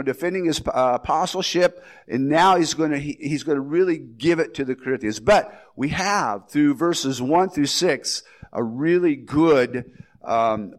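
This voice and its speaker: A man with a slight cold preaching a casual, rambling verse-by-verse sermon as pastor. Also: mid-range voice. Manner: rambling, folksy, with restarts and asides. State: has a head cold.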